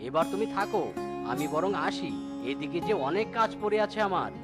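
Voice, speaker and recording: A woman's voice: fairly low pitch, animated, moderate pace, lightly acted. A woman voicing a kindly, helpful male farmer in a cartoon, over music. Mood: friendly. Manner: friendly, helpful.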